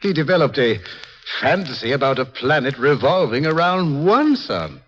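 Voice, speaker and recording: An actor playing a composed scientist who explains things deliberately and dryly in a 1950s radio drama. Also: male voice, medium pitch, big pitch swings, slight background noise, close to an everyday voice. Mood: musing, explaining. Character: composed, lecturing male scientist at a doomed observatory. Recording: dull lo-fi 1950s radio recording.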